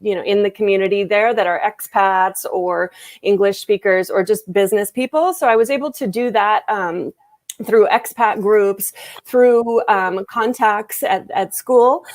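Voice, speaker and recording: A woman's voice: medium pitch, unhurried, no room sound. A woman translator and interpreter answering interview questions in a casual, hesitant way, with many fillers and restarts over a video call.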